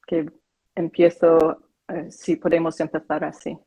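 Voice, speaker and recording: Slow-spoken husky woman. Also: sits low, flat delivery, dark tone, low-quality recording. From Korea. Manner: slow, careful, hesitant speech. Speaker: guest. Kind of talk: conversational online interview. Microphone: video call.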